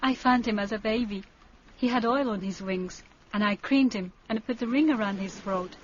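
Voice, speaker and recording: A woman's voice: on the high side, normal speed, lightly acted. An actress playing a frank, earnest young Japanese island diving woman in a radio drama, with simple, careful phrasing. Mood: fond, matter-of-fact. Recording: dull, noisy radio drama recording.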